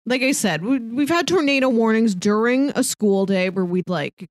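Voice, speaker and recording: A woman's voice: a little high, normal speed, no room sound, clean studio sound. A young adult woman co-hosting a podcast, chatting casually in a wry, chatty way with lots of "like" thrown in. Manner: chatty, wry, peppers talk with "like". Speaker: podcast co-host. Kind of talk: casual movie podcast chat.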